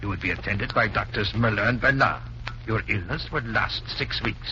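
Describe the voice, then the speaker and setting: An actor playing a calculating Nazi agent who poses as a doctor, formal, measured and coolly menacing in a vintage radio drama. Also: male voice, lowish voice, pushed and bright. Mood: smooth menace. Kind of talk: 1940s radio drama acting. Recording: muffled, noisy old radio recording.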